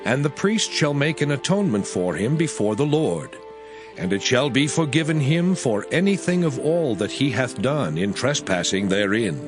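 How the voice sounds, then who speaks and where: A man reads scripture in a measured, expressive voice. His pitch moves in lively arcs on each phrase. Clear background music plays under him throughout. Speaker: scripture reader.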